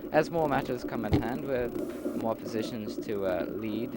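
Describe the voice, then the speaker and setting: An earnest leader played by a man patiently lays out how a new society works, in formal but halting phrases. The voice sits at an ordinary male pitch. It comes from an amateur audio drama, on a homemade recording thick with noise. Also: close to an everyday voice. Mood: earnest, explanatory. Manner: halting, explanatory, formal wording with many hesitations.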